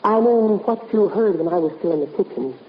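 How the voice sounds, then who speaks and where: A woman's voice: mid-range voice, expressive, brisk, lightly acted. Level-headed, concerned female sleuth's assistant played by a woman, vintage radio drama, muffled with faint noise. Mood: earnest, reassuring. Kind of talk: 1950s radio drama acting. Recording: muffled, noisy 1950s radio recording.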